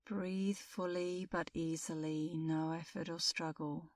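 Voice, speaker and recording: A middle-aged woman guides a meditation in a calm, soothing manner. Her voice sits a little low and she speaks very slowly, leaving long pauses. The recording is very dry, with no room echo. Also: far from the mic. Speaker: meditation instructor.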